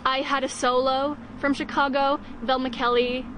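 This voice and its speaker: A woman from Sweden, a native Swedish speaker, with a high voice. She answers questions in a relaxed way, and clear traffic noise runs behind her. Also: normal speed.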